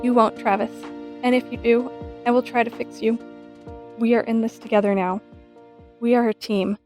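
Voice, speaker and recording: A woman's voice: on the high side, steady tone, moderate pace, a little mellow, lightly acted. Devoted young sweetheart over music, played by a woman. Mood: reassuring, loving. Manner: sincere, affectionate. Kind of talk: romantic audio drama acting.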